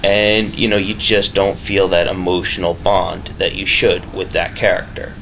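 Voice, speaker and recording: A man giving a casual, unscripted review, rambling and halting, with emphatic points and swearing. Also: sits low, flat delivery, creaky, a bit bright, lo-fi sound, a little hiss or hum. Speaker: amateur movie reviewer.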